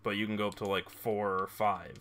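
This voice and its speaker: A young man streaming a game, chatting casually with friends and explaining things in a dry, drawn-out way. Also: fairly low pitch, flat delivery, a bit bright, slight background noise. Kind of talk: casual gaming chat.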